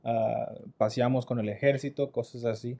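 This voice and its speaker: Male youth pastor, unsure and halting, casual. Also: mid-range voice, fairly even intonation, vocal fry, dark tone. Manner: hesitant and unsure. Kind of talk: casual podcast conversation.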